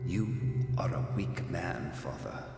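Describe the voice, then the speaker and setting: An actor playing a cold, righteous conspirator in a film, speaking with measured, ominous solemnity. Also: male voice, sits low, animated, creaky, a little mellow, whispered, heavily acted. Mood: quietly menacing. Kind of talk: dramatic film acting. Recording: echoey hall reverb, faint music.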